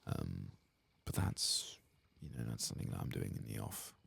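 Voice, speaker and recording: A man softly murmuring and half-whispering gameplay commentary in a husky, breathy voice, like an ASMR host. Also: a bass voice, sing-song, normal speed.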